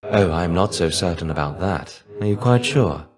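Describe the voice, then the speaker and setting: A man playing a weary, melancholy shade of a fallen ancient Greek warrior in a video game, measured, wistful and dryly understated. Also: deep voice, expressive, a crowd in the background. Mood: gentle, calm. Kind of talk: video game character voice lines.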